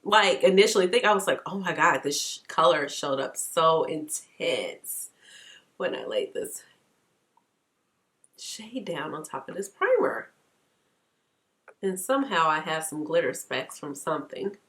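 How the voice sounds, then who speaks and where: A woman's voice: deep voice, expressive, unhurried, long pauses, fairly bright tone. Stuffy-nosed woman chatting through makeup. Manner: chatty, laughs often, says "okay?". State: congested, stuffy nose. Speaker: beauty vlogger. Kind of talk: casual makeup tutorial chat.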